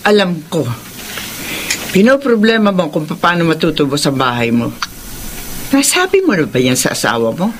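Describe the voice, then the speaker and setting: A woman's voice: deep voice, big pitch swings, moderate pace, pauses often, a little hiss or hum. A woman with a Filipino accent playing a concerned, admonishing older mother in a radio drama. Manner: probing, admonishing. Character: concerned, nagging older mother of a grown son.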